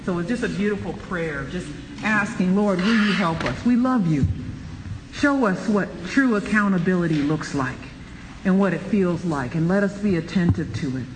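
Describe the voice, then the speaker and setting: High-voiced man leading a Bible study. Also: normal speed. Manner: preachy, animated, exclaiming. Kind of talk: church Bible study talk. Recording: roomy reverb, light background noise.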